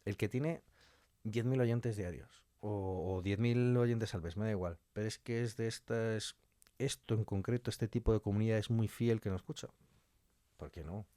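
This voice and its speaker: Low-voiced Spanish man in conversation, dry sound. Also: moderate pace, warm tone, no room sound. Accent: Spanish (Spain). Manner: explains with rhetorical questions and imagined quotes. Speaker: interview guest, audio fiction writer. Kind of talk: conversational interview, giving opinions.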